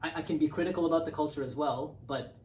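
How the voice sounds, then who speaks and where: A young man from Bangladesh, raised in Canada, talking as a podcast guest in a thoughtful, halting way and repeating words while he thinks. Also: a little high, a little mellow, recorded from a distance. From born in Bangladesh, raised in Canada. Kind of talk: casual conversational interview. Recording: roomy, off-mic, faint hiss.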